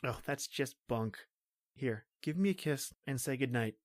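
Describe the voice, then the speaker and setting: Low voiced lady doing a gruff protective policeman guy, old radio horror play, melodramatic, dry close sound. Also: lively intonation, measured pace, no room sound. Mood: brisk, reassuring. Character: stoic, protective male country police officer. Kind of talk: old-time radio horror drama acting.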